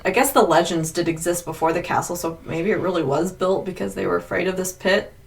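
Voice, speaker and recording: Female podcast co-host, lowish voice, rambling casual storyteller, recorded a bit off-mic. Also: fairly even intonation, a little fast, gravelly. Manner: rambling, heavy use of "like". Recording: distant mic with background noise.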